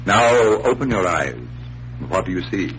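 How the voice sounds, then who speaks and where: Confident magician detective, 1940s radio show, teasing and showy, lots of up and down, muffled old recording. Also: male voice, on the low side, expressive, measured pace, fairly bright tone, a little hiss or hum. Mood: showmanlike, assured. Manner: theatrical, precise, playful taunting. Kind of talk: 1940s radio mystery acting.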